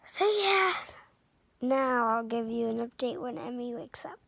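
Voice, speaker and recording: A woman's voice: a little high, animated, unhurried, creaky, a bit bright, no room sound. A young girl with a sore throat and runny nose, whispering and chatting as she co-hosts a casual vlog from bed, filmed on a phone held at arm's length. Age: child. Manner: chatty, rambling, often whispering. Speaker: vlog co-host. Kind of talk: casual vlog chat to camera. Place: bedroom, lying in bed. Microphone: phone front camera at arm's length.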